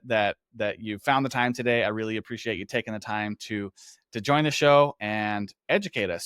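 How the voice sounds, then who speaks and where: A man's voice: mid-range voice, fairly bright tone, smooth, dry recording. Male podcast host, dry close sound. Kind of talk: scripted guest introduction and interview talk.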